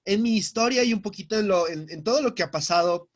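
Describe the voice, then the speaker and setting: A man's voice: high-pitched, fast. A young man, giving a personal talk over a video call and often repeating himself as he restarts. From Ecuador. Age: young adult. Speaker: guest speaker.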